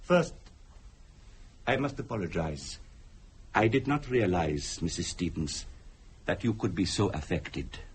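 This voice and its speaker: An actor playing a formal, courteous mystic who speaks deliberately and eerily in a dramatic radio thriller. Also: male voice, sits low, expressive, slightly rough, full character voice. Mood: grave, insistent. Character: calm, eerie male mystic telling of curses and spirits.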